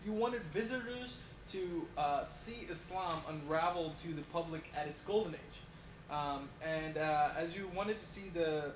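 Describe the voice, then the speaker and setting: A man's voice: on the high side, unhurried. Rambling male talk show host, echoey. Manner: rambling, filler-heavy. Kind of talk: mock talk show interview. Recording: echoey room with loud noise.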